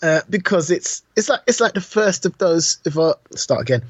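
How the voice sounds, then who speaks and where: A middle-aged man chatting casually about films as a podcast co-host, telling things in an animated, slangy way. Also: fairly high pitch, moderate pace, slightly rough, no echo, highs cut off.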